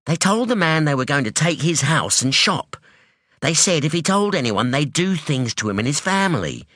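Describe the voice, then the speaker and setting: Casting: man doing a blunt, defiant young woman in an audiobook, raised and lively, close dry sound. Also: fairly high pitch, no echo, pitched above the performer's own voice. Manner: blunt, challenging, insistent. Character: sharp, defiant young woman, street-tough daughter. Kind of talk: audiobook dialogue.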